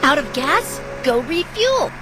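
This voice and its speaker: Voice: high voice, very animated, slow pace, over music, full character voice, rough recording. A performer voicing a cute, eager little cartoon animal child in a children's game, speaking in simple child-directed phrases.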